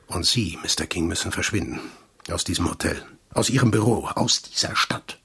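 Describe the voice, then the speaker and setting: An actor playing a gruff, weary, commanding intelligence chief in a German radio drama, terse and deliberate, with a husky, gravelly voice. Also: male voice, low voice, pushed and bright, full character voice. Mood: grimly matter-of-fact.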